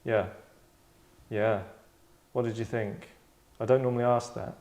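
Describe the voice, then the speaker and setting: In an echoey room, a man with a medium-pitched voice hosts a script reading. He narrates stage directions and talks the scene over informally, repeating phrases for emphasis. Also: level tone, a little slow, a little mellow. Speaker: writer, director and host.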